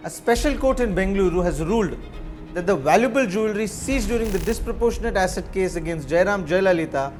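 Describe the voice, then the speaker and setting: A man's voice: high-pitched, animated, fast talker, a little mellow. A man reading scripted news narration. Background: music.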